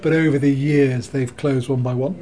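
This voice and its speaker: A man's voice: mid-range voice, fairly even intonation, normal speed, background noise. A man from Wales, talking as a vicar in a cheerful, easygoing way. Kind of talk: interview answers.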